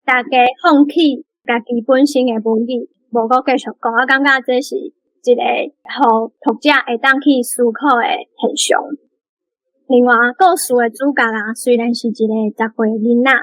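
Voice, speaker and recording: Dry, higher-voiced Taiwanese woman. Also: steady tone, moderate pace, no echo, rough recording. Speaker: book club member, guest reader. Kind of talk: prepared book commentary.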